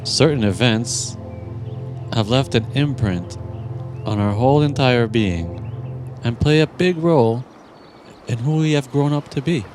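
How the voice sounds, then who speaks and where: Male meditation guide: unhurried, gentle and deliberate, leaving long pauses, set over prominent music. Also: mid-range voice, animated.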